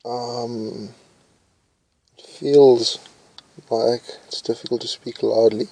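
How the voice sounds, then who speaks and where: A man's voice: mid-range voice, steady tone, slow pace, creaky, low-quality recording. A young man casually reading personality test questions aloud and answering them, mumbling and thinking aloud with hesitations. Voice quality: says his voice is loud.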